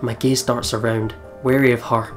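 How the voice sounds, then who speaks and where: A man's voice: medium pitch, flat delivery, normal speed, over music. Young man dramatically reading game narration. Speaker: let's play host.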